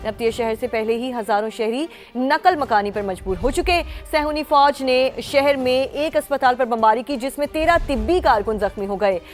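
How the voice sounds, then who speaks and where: A woman's voice: sits high, expressive, normal speed, few pauses. A woman with a Pakistani accent reading scripted TV news as an anchor, with emphatic headline delivery. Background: news music bed.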